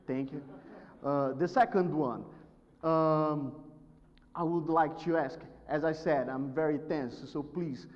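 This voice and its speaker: A man's voice: fairly high pitch, fairly even intonation, takes it slowly. A nervous man from Brazil with a Brazilian Portuguese accent, a native Portuguese speaker, presenting politely at a conference. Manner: polite, apologetic. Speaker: presenter, market analyst. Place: conference stage in a hall. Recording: dull sound, long hall reverb.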